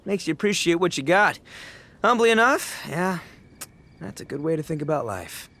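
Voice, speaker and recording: A man's voice: a little high, expressive, moderate pace, sharp tone. A man voicing a brash, goofy, big-hearted young gang boss in a video game, boisterous, slangy and theatrical. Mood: won over. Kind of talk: video game cutscene acting.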